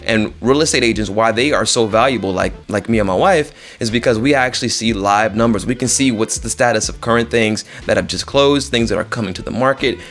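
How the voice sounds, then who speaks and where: A man's voice: lowish voice, brisk, hardly any pauses, fairly bright tone. Emphatic man giving informal advice. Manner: emphatic, repeats phrases for stress; conversational, addresses listeners directly. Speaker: real estate agent. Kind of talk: informal direct-to-viewer advice talk.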